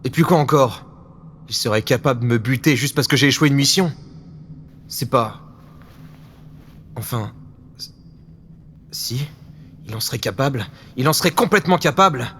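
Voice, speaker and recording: A man's voice: mid-range voice, moderate pace, pauses often, a bit bright, close to an everyday voice. A man playing a wary, defiant secret service agent in a French audio drama, speaking tersely. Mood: shaken disbelief. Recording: faint background noise.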